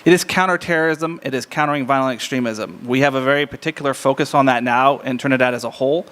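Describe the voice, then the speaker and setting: Booming American man in an echoing hall. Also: fast, fairly bright tone, smooth. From United States. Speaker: embassy diplomat, guest speaker. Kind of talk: informal public address. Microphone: lectern microphone. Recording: echoey reverberant hall.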